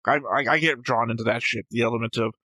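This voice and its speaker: A man's voice: medium pitch, fairly even intonation, fast talker, pushed and bright, no room sound. A man talking casually as a reaction show host, opinionated and analytical, with a blunt, flippant way of speaking.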